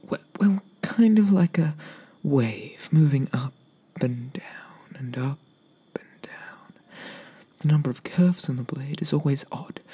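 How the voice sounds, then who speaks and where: A man speaking softly in a breathy whisper close to the microphone, slow and courteous, roleplaying an eager weapons merchant for ASMR. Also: fairly high pitch. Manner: slow, hesitant, courteous sales patter. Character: polite, eager male weapons merchant obsessed with swords.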